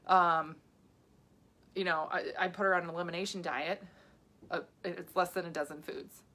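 A woman's voice: on the low side, fairly even intonation, normal speed, sharp tone. A woman in her thirties, a health coach, chatting casually to viewers on a live video with many asides and restarts.

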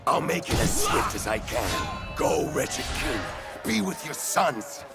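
Fierce, loyal burly warrior, game role, creaky voice swinging hugely in pitch, score behind. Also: male voice, very animated, takes it slowly, loud music behind the voice, full character voice. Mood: battle fury. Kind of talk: dramatic video game cutscene acting.

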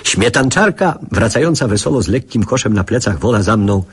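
A man's voice: fairly low pitch, expressive, a little fast, husky. A man narrating childhood memories in a scripted, literary radio play style.

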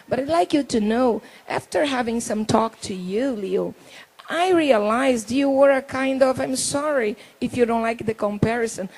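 A middle-aged Brazilian woman, hosting a talk show in English with a handheld mic, halting and reading from notes. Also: on the high side, darkish tone, breathy edge, low-quality recording. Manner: halting, reads from notes, laughs often. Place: indoor talk show stage.

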